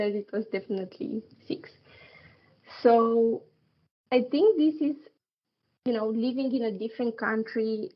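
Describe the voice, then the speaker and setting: Woman, laughing, slightly high voice. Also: muffled recording. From Romania. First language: Romanian. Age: 30s. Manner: laughs often, pauses with fillers. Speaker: podcast guest. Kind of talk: casual interview chat.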